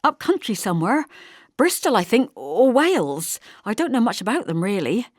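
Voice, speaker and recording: A woman's voice: fairly high pitch, very animated, normal speed, dry recording, clean studio sound, voice pushed up. A woman voicing an awkward young female cousin in an audiobook, hesitant, evasive and apologetic.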